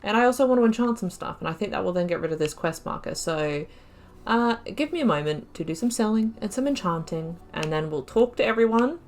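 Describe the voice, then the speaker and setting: A woman's voice: medium pitch, lively intonation, a little fast, darkish tone, slight background noise. A woman giving rambling gameplay commentary, thinking aloud with frequent fillers. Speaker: gaming YouTuber.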